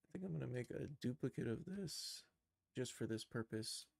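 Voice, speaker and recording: A man thinking aloud through a casual live software tutorial, in an informal, musing, tentative manner. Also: medium pitch, animated, normal speed, gravelly, no room sound, studio quality. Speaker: streamer teaching animation software.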